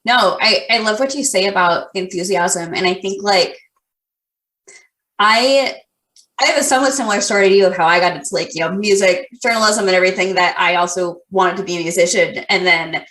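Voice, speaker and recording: A woman's voice: medium pitch, a little fast, fairly bright tone, roomy sound, rough recording. A woman hosting a casual podcast interview, friendly and rambling, full of fillers and restarts. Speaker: podcast host.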